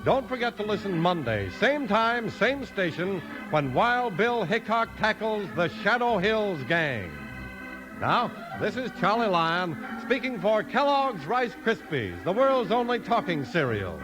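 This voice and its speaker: A man announces in polished 1950s American radio style. His voice is high and very lively, with an emphatic sales delivery. Organ music plays clearly behind him. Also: unhurried, rough recording.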